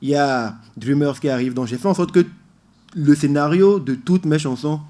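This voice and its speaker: A man's voice: fairly high pitch, normal speed, dark tone. A man being interviewed as a musician guest in a radio studio, explaining his ideas in an earnest, emphatic way.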